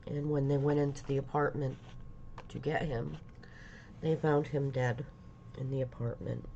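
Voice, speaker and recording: A middle-aged woman talking casually in a personal vlog, halting and rambling, stuffy from a lingering cold. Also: a bass voice, flat delivery, creaky, warm tone, dull audio, a little hiss or hum. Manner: halting, rambling, many pauses and fillers. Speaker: vlogger.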